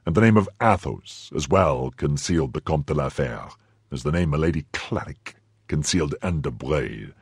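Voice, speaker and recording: A man voicing a stern, brooding nobleman who speaks slowly, lowly and menacingly in a quiet, husky voice. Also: lively intonation, no echo, clean studio sound, full character voice. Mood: coldly menacing. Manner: slow, low and menacing, quietly bitter. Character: stern brooding male nobleman, cold and grave.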